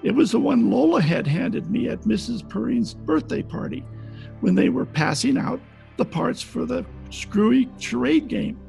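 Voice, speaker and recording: A man playing a cynical, tough-talking private detective in a wry, deadpan 1940s radio style. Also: extremely high pitch, moderate pace, creaky, exaggerated voice. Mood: wry, world-weary. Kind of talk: 1940s detective radio acting, narration and dialogue. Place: home, recorded over video call. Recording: video call recording with faint music behind.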